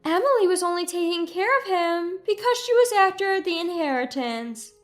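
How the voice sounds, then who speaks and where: Spiteful older mother-in-law played by a woman, shrill and high, indignant, story narration. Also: animated, moderate pace.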